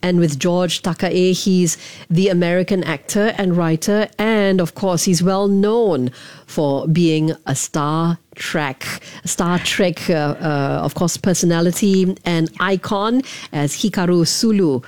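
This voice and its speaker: Low, mellow-voiced woman radio host. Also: slow, dry recording. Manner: scripted intro, then loose with fillers. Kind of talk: radio interview hosting.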